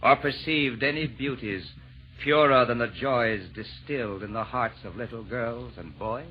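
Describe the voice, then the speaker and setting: An actor playing a jolly, eloquent Santa Claus, declaiming rhymed verse grandly in 1940s radio drama style. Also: male voice, mid-range voice, normal speed, faint music behind, lightly acted. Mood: lyrical, rapturous. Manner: grand, declamatory rhyming delivery. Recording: dull vintage 1940s radio recording.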